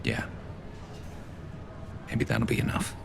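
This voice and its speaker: A man playing a battle-hardened, dry-witted alien soldier in a video game, with a gravelly, metallic voice and measured delivery. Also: background noise. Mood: brooding, conflicted. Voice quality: gravelly, resonant metallic rasp.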